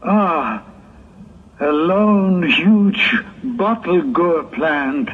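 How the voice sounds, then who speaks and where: Aggrieved biblical prophet, played by a man, very drawn-out and theatrical, full of groans; tinny, hissy 1960s audio drama recording. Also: sits high, expressive, exaggerated voice. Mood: relieved, grateful. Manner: theatrical, drawn-out, sighing and moaning monologue. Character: aggrieved, self-pitying Hebrew prophet, suffering in the heat.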